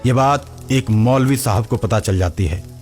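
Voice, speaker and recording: A man's voice: mid-range voice, a little slow, darkish tone. A man narrating a scripted cartoon story as the storyteller. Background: background music.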